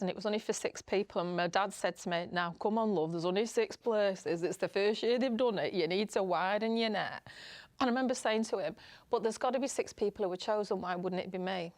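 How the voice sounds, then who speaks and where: A woman's voice: mid-range voice, brisk, darkish tone, no room sound, studio quality. Chatty middle-aged Northern English woman. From northern England. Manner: chatty, self-deprecating, candid. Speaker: podcast guest, public speaker. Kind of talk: casual personal storytelling.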